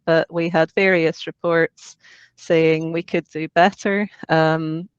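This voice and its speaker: A woman giving an online lecture as a guest presenter, relaxed and filling pauses with ums. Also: sits low, clear, resonant tone, no room sound. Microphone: video call. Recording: dull, dry video-call audio.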